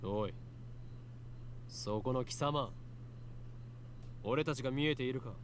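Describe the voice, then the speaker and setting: A man's voice: mid-range voice, normal speed, a little hiss or hum, natural voice. An actor voicing a brusque, challenging man in a visual novel game. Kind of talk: Japanese visual novel voice acting.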